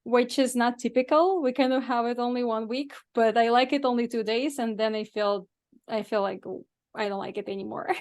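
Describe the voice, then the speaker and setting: A woman with a slightly high voice hosts a casual interview. She talks informally and is generous with compliments, gushing as she addresses her guest and listeners. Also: a little fast, darkish tone.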